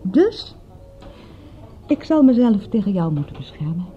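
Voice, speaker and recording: A woman's voice: mid-range voice, sing-song, measured pace, dull audio, slight background noise. A woman playing a vulnerable, guarded suburbanite who talks in a confiding, self-deprecating way in a radio play. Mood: guarded, wounded. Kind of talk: radio play dialogue, naturalistic.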